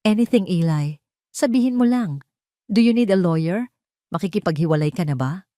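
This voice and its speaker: A concerned male lawyer in an audio drama, voiced by a woman. He is a loyal, protective friend who speaks with urgency, in a fairly low register and a dry recording. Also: lively intonation, normal speed, warm tone, no room sound, lightly acted. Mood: worried, urgent.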